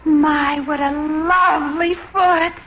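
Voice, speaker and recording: Very high-pitched gushing teenage girl. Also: steady tone, slow. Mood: admiring. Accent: American. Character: gushing, earnest, boy-crazy teenage neighbor girl. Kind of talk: 1940s radio sitcom acting. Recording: dull, faintly noisy 1940s radio recording.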